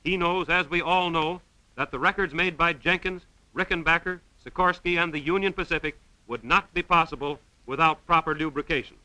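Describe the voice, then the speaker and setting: An American man reads 1930s film narration with earnest, declamatory delivery. His voice is fairly high, very sharp and forceful, with crisp, clean tone. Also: fairly quick, no room sound, low-quality recording. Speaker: newsreel narrator.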